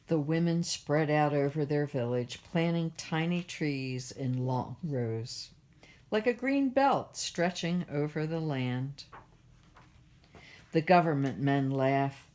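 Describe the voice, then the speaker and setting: A woman reads a picture book aloud for children at a library storytime. Her voice sits low, and she takes her time with each phrase. The delivery is measured and expressive, in the style of a storyteller.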